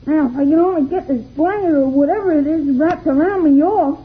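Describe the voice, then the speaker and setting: A woman's voice: high voice, a little fast, natural voice. A woman playing an eager, plucky young boy in an old-time radio space adventure, speaking plainly. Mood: urgent, eager. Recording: tinny noisy old radio recording.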